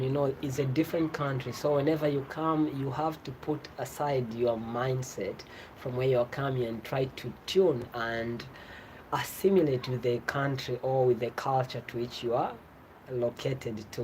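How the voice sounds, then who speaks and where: A travel vlogger from Tanzania, a native Swahili speaker with a Tanzanian accent, explaining informally and often rephrasing in a halting way. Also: medium pitch, darkish tone, rough recording. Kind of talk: informal explanatory vlog talk. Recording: clear background ambient noise.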